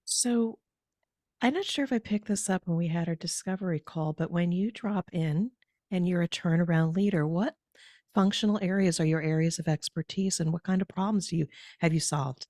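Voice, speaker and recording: A woman's voice: lowish voice, normal speed, warm tone, no room sound, studio quality. A woman hosting her podcast, introducing a guest and asking questions in an upbeat, emphatic way. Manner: upbeat, emphatic host delivery. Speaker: podcast host. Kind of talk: podcast host introduction and interview.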